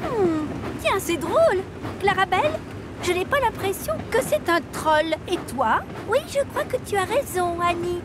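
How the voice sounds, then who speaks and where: A woman's voice: extremely high pitch, big pitch swings, unhurried, loud music behind the voice, heavily acted. A woman voicing a chatty, thoughtful female railway carriage in a children's cartoon. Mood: puzzled.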